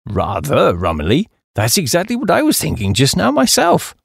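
Guy playing a posh english idler in an audiobook, chummy and breezy, pitch all over the place. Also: wildly swooping pitch, normal speed, vocal fry, husky, dry recording, full character voice. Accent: upper-class English. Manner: breezy, slangy. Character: breezy, idle, affable upper-class English gentleman.

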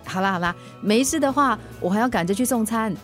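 An actress plays a helpful, upbeat woman who delivers food in a radio drama. Her voice is fairly high and she chats with a Singaporean accent, dropping in English words. Music sits lightly behind her. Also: fast talker, fairly bright tone, natural voice. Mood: breezy, brisk. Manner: chatty, mixes English words into Mandarin. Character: cheerful helpful food delivery rider, a mother. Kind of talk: naturalistic Mandarin radio drama acting.

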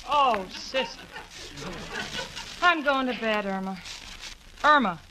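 A woman's voice: fairly high pitch, slow. A woman playing an exasperated, sensible young roommate with dry sarcasm in 1940s radio comedy. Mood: weary, sarcastic. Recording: noisy 1940s radio recording.

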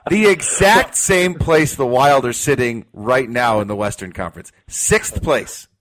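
An American man hosts a sports talk show with a medium-pitched voice. He argues his points emphatically, repeating key phrases for weight. His delivery is that of an opinionated radio host. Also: lively intonation, unhurried, a bit bright, low-quality recording.

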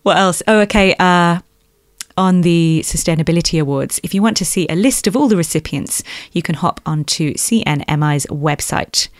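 A woman with an Australian accent hosting a podcast in a bright, chatty way. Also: fairly low pitch, normal speed, dry recording, studio quality. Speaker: podcast host.

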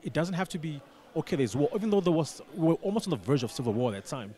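A man answering as a radio interview guest, explaining deliberately and repeating words as he forms his points. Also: fairly high pitch, big pitch swings, mellow. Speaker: radio station manager, studio guest.

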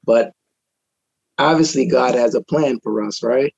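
A man from Trinidad, a guest on a talk show, relaxed in telling his own story with many fillers, speaking from his home over a video call. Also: medium pitch, a little slow, vocal fry, breathy edge, lo-fi sound. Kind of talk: personal testimony in casual chat.

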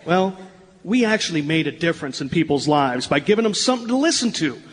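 An actor playing an earnest, coaxing old former ballplayer in a live radio play. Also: male voice, a little high, fairly quick, close to an everyday voice. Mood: pleading, indignant. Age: older. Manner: animated, coaxing. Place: town hall stage before a live audience. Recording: noisy live hall, long reverb.

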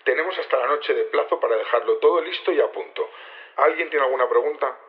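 A male actor plays a calm, orderly leader in an audio drama. He has a medium-pitched voice and sets things out politely and methodically. The sound is a little lo-fi, like radio. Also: fast talker, close to an everyday voice. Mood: composed, businesslike. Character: composed male leader briefing his conspirators, methodical. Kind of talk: naturalistic audio drama acting. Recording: slightly dull radio-like sound.

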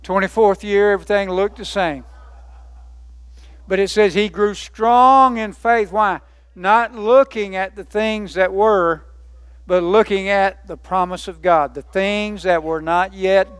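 A man's voice: high voice, unhurried, fairly bright tone, smooth, no room sound. A man preaching a sermon, emphatic, building lists by repetition and asking rhetorical questions.

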